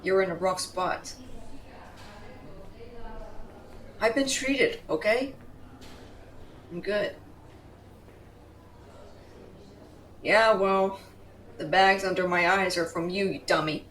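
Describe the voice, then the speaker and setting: A woman's voice: medium pitch, a little slow, fairly bright tone, far from the mic, a little hiss or hum, lightly acted. A woman playing a fiercely protective, tender tsundere girlfriend in an intimate, emotional roleplay audio, blunt in her affection. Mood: relieved, stubborn. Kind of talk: dramatic roleplay audio, intimate second-person acting.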